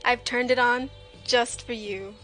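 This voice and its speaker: Woman streamer w a slightly high voice, chatting casually n joking around while drawing, music on behind her. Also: steady tone, unhurried, pushed and bright. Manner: playful, jokey, self-deprecating. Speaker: livestream host, artist.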